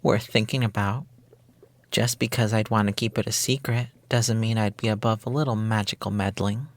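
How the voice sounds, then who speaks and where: A man's voice: on the low side, close to an everyday voice. A man playing a coy, playful romantic partner who is secretly a witch, in an intimate ASMR roleplay, flippant and evasive. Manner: flippant, evasive, teasing, cutesy slang. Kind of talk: intimate ASMR roleplay monologue, girlfriend-experience script.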